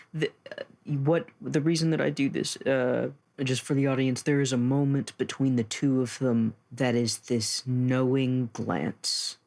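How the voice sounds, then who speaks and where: A man with a mid-range, creaky voice takes part in a tabletop roleplaying game. He narrates casually, explaining small moments in measured phrases. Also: medium pitch, a little mellow.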